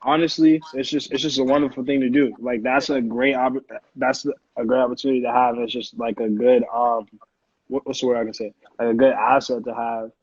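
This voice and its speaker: A man's voice: mid-range voice, flat delivery, a little fast, darkish tone, breathy edge, no room sound. An American man from Connecticut, a music producer chatting casually as an interview guest in informal slang peppered with "like". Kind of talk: casual chat. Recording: dull, lo-fi audio.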